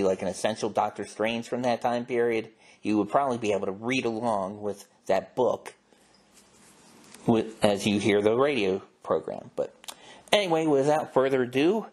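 A man hosting a show, giving a casual, informative introduction. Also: fairly low pitch. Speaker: podcast host.

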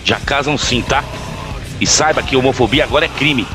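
A man's voice: medium pitch, moderate pace, over music, lightly acted. An actor playing a young man, a defiant and distraught son in an audio drama, pleading and accusing.

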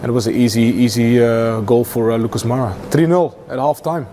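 Halting mellow-voiced male football pundit. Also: mid-range voice, fairly even intonation, a little hiss or hum. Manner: halting, many fillers and restarts. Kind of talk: studio match analysis. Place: TV studio.

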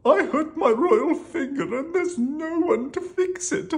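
A woman voicing an excitable, pompous king in a children's storybook, with theatrical, drawn-out exclamations. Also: high voice, pitched above the performer's own voice. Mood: wailing, upset.

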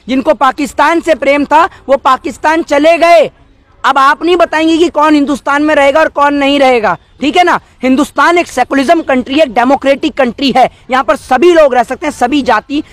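A man's voice: very high-pitched, a bit bright, no echo. A man, arguing indignantly in rhetorical questions during an outdoor street interview. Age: 20s. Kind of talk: impassioned street interview on politics. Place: outdoors by a wall. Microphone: cluster of handheld news microphones held close.

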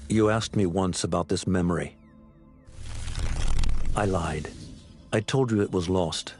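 An actor playing a grave older leader of a secret order, narrating in a measured, cinematic game style. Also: male voice, sits low, darkish tone, faint music behind. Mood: grave, reflective.

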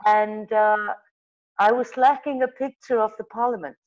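Middle-aged Icelandic woman, lively anecdotal guest, mid pitch, slightly dull video-call sound. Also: moderate pace. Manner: animated storyteller, gestures, restarts and self-corrections. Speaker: magazine editor, guest. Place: room with wall of photos. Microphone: earbud headset mic on video call.

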